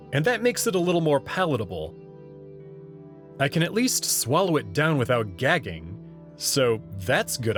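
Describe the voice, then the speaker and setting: A man reading a visual novel aloud, narrating in the first person in an unhurried way. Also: mid-range voice, a bit bright. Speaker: reader.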